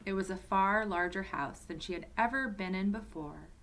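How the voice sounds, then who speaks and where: A woman reads a storybook aloud for children in a mid-range voice. She is expressive and speaks to her young listeners directly as a storyteller. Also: medium pitch, normal speed, low-quality recording. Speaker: storyteller reading to church children.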